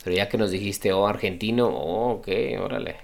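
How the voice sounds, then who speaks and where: A man co-hosting a podcast, relaxed and rambling with fillers. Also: fairly low pitch, a little fast, creaky. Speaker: podcast co-host. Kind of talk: podcast chat.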